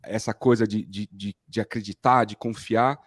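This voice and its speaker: A man's voice: lowish voice, steady tone, normal speed. A Brazilian man interviewing in a casual, informal and affirming conversational way. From Rio de Janeiro, Brazil. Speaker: interviewer, host.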